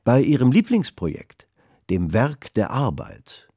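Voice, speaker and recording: A man narrating a historical radio drama in measured literary prose. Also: medium pitch, huge pitch swings, dark tone, slightly rough, no echo, studio quality, exaggerated voice. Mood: measured narration. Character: measured male narrator of a 19th-century finance saga.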